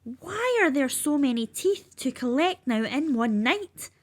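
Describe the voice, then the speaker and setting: A woman's voice: high voice, lively intonation, unhurried, voice pushed up. A woman voicing a fussy, exasperated tooth fairy in a read-aloud storybook. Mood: worried. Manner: exasperated, indignant. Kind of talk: storybook character voice.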